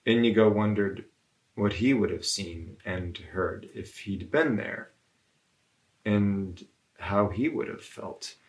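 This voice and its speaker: A man's voice: on the low side, slow, low-quality recording. Man reading a children's storybook aloud. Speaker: religious brother reading aloud.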